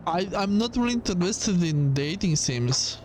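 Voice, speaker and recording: A man giving casual, halting game commentary and reading dialogue aloud, with a bored, dismissive manner. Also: high voice, animated, a little hiss or hum. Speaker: gaming video host. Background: music behind the voice.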